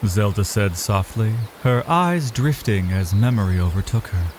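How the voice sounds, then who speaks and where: A man's voice: on the low side, expressive, moderate pace, darkish tone, slight background noise. A man giving dramatic, scripted narration for a fantasy audio drama as its storyteller. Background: music.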